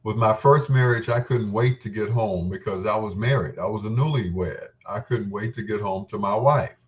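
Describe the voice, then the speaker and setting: A man's voice: on the low side, normal speed, far from the mic. A middle-aged man reminiscing casually in a rambling, candid and playful way, as the host of his own show. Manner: informal, candid, playful storytelling with self-quoting.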